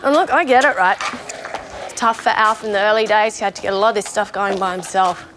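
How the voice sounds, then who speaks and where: A woman's voice: mid-range voice, fairly quick, music in the background, natural voice. A woman playing a jaded, opinionated vegetarian zombie in a comedy film, talking in a sardonic, sweary, conversational rant. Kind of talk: naturalistic short-film comedy acting, mock interview.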